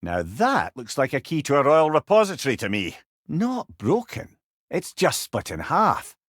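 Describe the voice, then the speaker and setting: A wise old Scottish companion from a video game, talkative and sentimental under the wry surface. His delivery swings freely up and down in pitch, folksy yet eloquent. The voice sits close and dry, with no room around it. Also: huge pitch swings, measured pace, fairly bright tone, dry recording, heavily acted. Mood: advising. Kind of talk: video game character dialogue.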